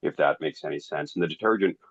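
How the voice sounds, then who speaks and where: A man with a deep, low voice explains technical points informally. The sound is dry and somewhat dull, as on a conference call. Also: quick pace, a bit bright, dry recording. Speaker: professional in the oil science field. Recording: dull, band-limited conference call audio.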